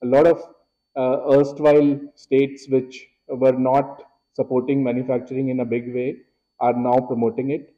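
Flat-voiced Indian man at a podium. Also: medium pitch, lo-fi sound. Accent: Indian English. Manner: measured, many filler "uh"s. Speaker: keynote speaker. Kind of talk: formal speech at a podium. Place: large wood-paneled hall, indoors. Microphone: podium microphone.

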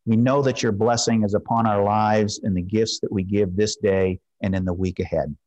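A man in his fifties preaching informally as a pastor in a measured, gently encouraging way. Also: fairly low pitch, fairly even intonation, highs cut off. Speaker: pastor leading a church service. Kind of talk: informal sermon and prayer. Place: church sanctuary, at a wooden pulpit.

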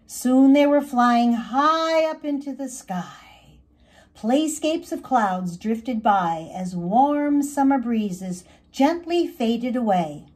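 A woman reading a children's story aloud as narrator, in an expressive storybook style. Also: on the high side.

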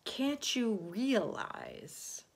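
Storybook young boy, confident, earnest, raised pitch, slow emphatic phrasing, voiced by a woman. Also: very animated, husky, heavily acted. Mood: patient. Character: confident, patient young boy, practical and reassuring. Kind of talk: children's storybook read aloud.

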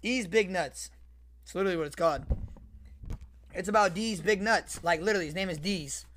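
A young man reading aloud casually on a livestream, swearing, slangy and repeating his phrases in an animated way. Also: sits high, fairly quick, a little hiss or hum. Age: young adult. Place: small bedroom, indoors. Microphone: close desk microphone with headset.

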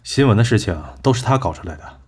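An actor playing a shrewd male business partner with a brisk, wry and joking manner. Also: on the low side, animated, darkish tone, breathy edge. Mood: scheming. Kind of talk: amateur audio drama acting.

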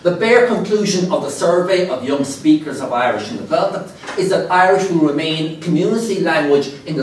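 A man in his thirties lectures from a podium in a large hall, his fairly high voice animated as he reads quotations and drops dry asides. The room rings with heavy reverb, and he sounds a little distant from the lapel mic. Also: slight background noise. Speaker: keynote speaker. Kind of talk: conference keynote lecture.

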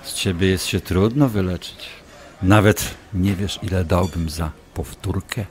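An actor playing a smug, obsessive doctor in an audio drama, taunting and insinuating as a manipulative ex-lover. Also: male voice, deep voice, steady tone, unhurried. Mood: insinuating, pressing. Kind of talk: audio drama acting, dialogue.

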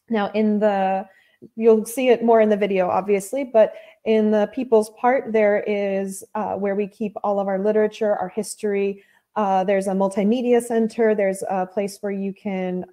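An American woman in her thirties explaining her library to a conference over a video call, pausing now and then to correct herself. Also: mid-range voice, warm tone. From United States. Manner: explanatory, with occasional self-corrections. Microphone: laptop mic on video call.

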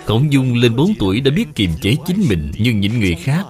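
Give this a man narrating an educational cartoon in a storybook style. His pitch is middling and his intonation lively, with music playing behind him. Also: moderate pace. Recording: music under the voice. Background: background music.

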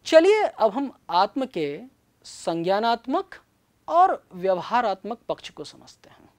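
A middle-aged man giving a scripted classroom lecture in a studio on a lapel mic, measured and explanatory. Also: high voice, big pitch swings. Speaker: teacher. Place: studio with backdrop.

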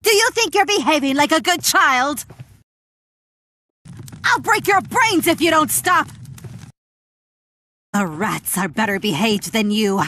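An actress playing a cruel, fanatical evil nun in a horror video game, scolding and threatening in a theatrical way. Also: female voice, high voice, big pitch swings, takes it slowly, long pauses, bright, forceful tone, soft background music, heavily acted. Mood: angry scolding.

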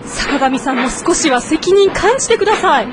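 A woman playing a brisk, conscientious, scolding office colleague in a radio drama. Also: sits high, expressive, background noise. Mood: stern, businesslike. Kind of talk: naturalistic radio drama acting.

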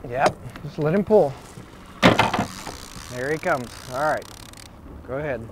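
A man with a fairly high voice gives calm, patient coaching as a fishing guide. He is recorded on an open boat on a river with a lapel mic. Boat and water noise is plainly heard behind him. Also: takes it slowly. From Oregon, United States.

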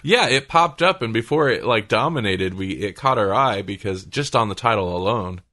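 A man's voice: fairly low pitch, lively intonation, moderate pace, bright, forceful tone. A man hosting a podcast, talking casually and conversationally with his co-hosts. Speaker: podcast host.

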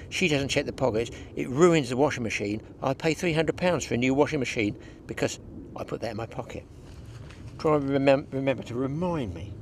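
Talk to the viewers like a man in his sixties teaching them to fish at the lakeside: easy and chatty, mid pitch. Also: a little hiss or hum. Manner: chatty, instructive, talks to viewers as guys. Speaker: fishing show host. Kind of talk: casual outdoor fishing commentary. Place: outdoors at a lakeside.

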